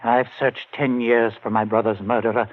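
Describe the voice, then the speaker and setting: A man's voice: mid-range voice, level tone, moderate pace, close to an everyday voice. A man playing an earnest, vengeful brother. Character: grieving brother seeking revenge, earnest. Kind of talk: 1940s radio drama acting. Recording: tinny old radio broadcast.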